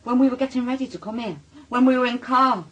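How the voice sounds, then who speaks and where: A woman playing a wounded, sharp-tongued, sarcastic wife with a Northern English accent in a naturalistic radio drama. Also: a little high, fast. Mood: hurt, suspicious. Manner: accusing, sarcastic.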